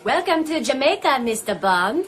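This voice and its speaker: A woman's voice: high-pitched, very animated, moderate pace. A woman acting in a radio drama as a pushy but polite young newspaper photographer. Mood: coy, probing.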